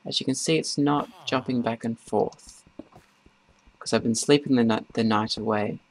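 A man giving casual, rambling gameplay commentary in a halting way as host of a gaming video. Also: medium pitch, fairly even intonation. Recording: noisy, keyboard and mouse clicks.

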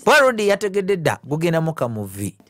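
A man's voice: fairly high pitch, wildly swooping pitch, fast, a bit bright. A man with a Ugandan accent giving a colloquial voice-over translation of a film, acting out the lines as he goes. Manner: colloquial, acts out the characters' lines. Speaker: film narrator and live translator (VJ).

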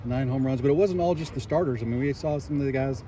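Distant reporter asking brisk questions outdoors. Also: male voice, mid-range voice, mellow, slight background noise. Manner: conversational, leading questions. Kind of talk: interview questions.

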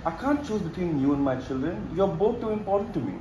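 A man's voice: high voice, animated, fast, mellow, lo-fi sound. A young man playing a meek, doting father and husband in an amateur comedy, earnest and placating. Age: young adult. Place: indoor home living room. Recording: echoey room with faint noise.

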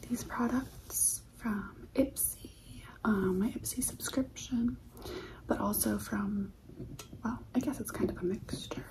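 A woman in her twenties whispering in a soft, breathy, unhurried ASMR style, close to the mic in a living room. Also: a little high, poor audio. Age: 20s. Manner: soft, unhurried, repeats words slowly. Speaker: ASMR video creator.

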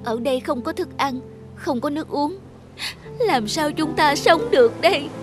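Worried young mother in a cartoon folk tale, played by a woman, plaintive, fairly high, clear music underneath. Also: animated, moderate pace. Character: anxious, doubtful young wife and mother. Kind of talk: cartoon fairy tale character voice.

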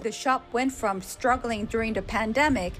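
A woman reporter narrating a scripted news story in a measured way. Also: a little high, over music.

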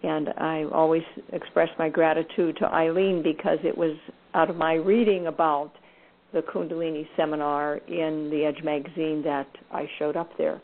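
A woman on a call explains the plans for an upcoming seminar in a plain, matter-of-fact way. Her voice sits a little low, and the line is narrow and tinny like a telephone. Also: flat delivery, moderate pace. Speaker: seminar organiser. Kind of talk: casual phone chat.

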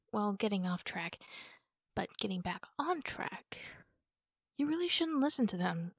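A woman speaking casually and haltingly in a comforting ASMR roleplay, as a reassuring friend talking to the listener. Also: medium pitch, very animated, gravelly, breathy edge, no echo. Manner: reassuring, informal, halting with pauses. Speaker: ASMR roleplay performer playing a supportive friend.

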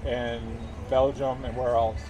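A man's voice: mid-range voice, flat delivery, unhurried, background noise. A man in his sixties hosting a casual travel vlog, asking questions in a chatty, rambling, folksy way outdoors on a street. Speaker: vlog host, interviewer.

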